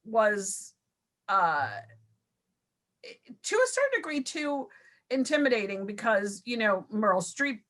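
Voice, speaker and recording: A woman actor talking about her role in a casual video-call talkback, halting with many fillers. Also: fairly high pitch, sing-song, a bit bright.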